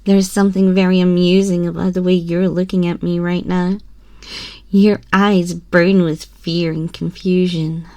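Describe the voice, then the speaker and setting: A woman acts out a small, haughty empress who toys with her listener. Her delivery is slow and drawn out, condescending and teasing, sometimes slipping into a breathy hush. It is an ASMR roleplay, recorded very close and dry. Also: fairly low pitch, level tone, no room sound, highs cut off. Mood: haughty, amused. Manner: imperious, slow, theatrical, teasing drawl with breathy whispers. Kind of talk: ASMR roleplay, scripted character monologue. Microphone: close binaural microphone.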